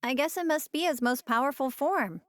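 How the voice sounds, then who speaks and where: A woman's voice: sits high, normal speed, dry recording, clean studio sound, lightly acted. A woman voicing an easygoing, curious, upbeat young wife in an English anime game, casual and inquisitive.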